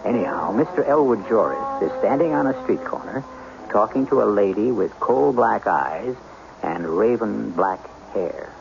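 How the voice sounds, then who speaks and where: A man's voice: fairly low pitch, animated, unhurried, gravelly. A man hosting and narrating a vintage radio mystery, theatrical and wry, speaking straight to the listener. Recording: muffled old radio recording with music behind.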